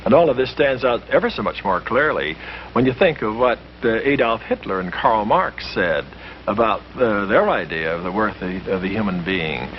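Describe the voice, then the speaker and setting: A man's voice: lowish voice, expressive, normal speed. A man explaining history to friends in earnest, emphatic scripted dialogue from a 1950s film. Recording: muffled old film soundtrack with faint hiss.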